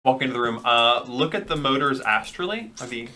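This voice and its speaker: Player guy, bright bouncy voice, talks kinda formal and argues. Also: medium pitch, lively intonation, a little fast. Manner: argumentative, formal phrasing. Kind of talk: casual tabletop play.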